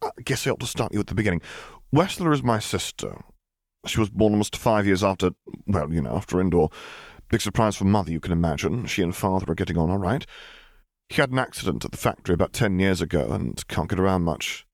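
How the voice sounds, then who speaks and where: A man's voice: low-pitched, animated, quick pace, no room sound, clean studio sound. A man voicing a gangly, jocular younger academic in an audiobook, chattering in an effusive, slightly flustered way. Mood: sheepish, chatty.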